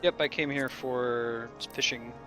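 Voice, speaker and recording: A man's voice: mid-range voice, steady tone, unhurried, over music. A man chatting casually as he plays a video game with friends. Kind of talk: casual gaming chat.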